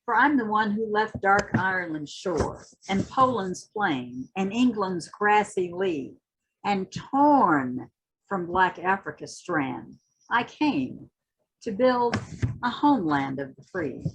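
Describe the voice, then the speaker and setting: A woman giving a dramatic, impassioned poetry reading, with emphatic, deliberate phrasing and a husky voice. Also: medium pitch, big pitch swings, a little hiss or hum, low-quality recording. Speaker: reader at a community literary read-in. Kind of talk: introduction and dramatic poetry recitation.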